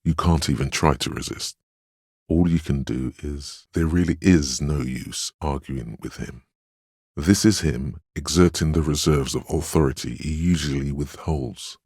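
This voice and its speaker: An actor voicing a stern, protective inner voice of willpower in a game, speaking solemnly in a measured, dryly wry and admonishing way. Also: male voice, a little mellow, husky, dry recording, studio quality, heavily acted. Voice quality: very deep, solemn. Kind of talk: scripted game narration in second person.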